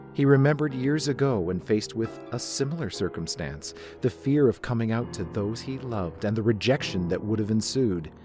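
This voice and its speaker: Casting: male narrator for a dramatic story reading, lowish voice, quiet music underneath.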